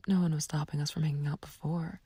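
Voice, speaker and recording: Woman voicing a seductive female villain, ASMR audio drama, low-pitched, whispery, teasing, languid, close and dry recording. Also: steady tone, quick pace, no echo. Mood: intimate, playful. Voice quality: breathy, whispery, soft. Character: flirtatious, taunting female villain sweet on a captive friend. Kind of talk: ASMR roleplay, intimate scripted acting.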